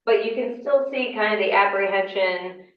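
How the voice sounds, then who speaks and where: A woman with a hoarse voice from a cold, explaining her work to a class in a conversational way with plenty of ums. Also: mid-range voice, moderate pace. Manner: conversational, explanatory, with frequent fillers. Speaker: county election official giving a class. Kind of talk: informal lecture. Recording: echoey room, mic far off, dull sound.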